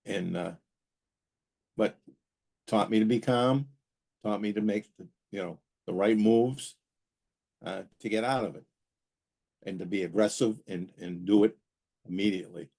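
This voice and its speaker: Man, lowish pitch, very mellow tone, podcast host, casual explainer, halting delivery, repeated words for emphasis. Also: vocal fry. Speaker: aviation safety podcast host and pilot.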